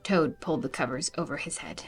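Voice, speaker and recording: A woman reading a children's storybook aloud in an animated narrating style. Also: deep voice, brisk, breathy edge, faint music behind. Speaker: storybook reader.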